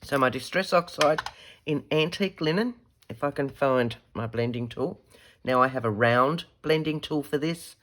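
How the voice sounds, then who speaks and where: An Australian woman talks through a craft demonstration at her desk. Her voice is croaky from a head cold. She chats casually, thinking aloud and correcting her own words as she goes. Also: rumbling low, normal speed, background noise. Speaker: craft tutorial host.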